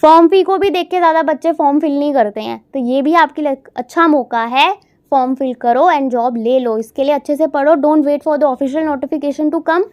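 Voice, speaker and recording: A woman's voice: sits high, moderate pace, darkish tone, muffled recording. A young woman with an Indian accent giving an informational explainer for viewers, speaking to students in a direct, encouraging way. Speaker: study vlogger presenting job updates.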